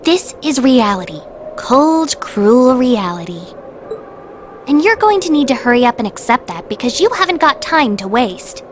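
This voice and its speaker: A woman voicing a bossy, sharp-tongued small magical creature in a video game, with a blunt, exasperated manner. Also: high voice, sing-song, normal speed, faint music behind. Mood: stern, blunt. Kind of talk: dramatic video game voice acting.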